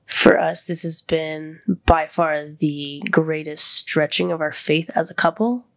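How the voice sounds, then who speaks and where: Congested woman with a gravelly voice. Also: deep voice, fairly even intonation, unhurried. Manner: open and vulnerable, near tears. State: congested, voice deeper than usual. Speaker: podcast host. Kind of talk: casual heartfelt chat.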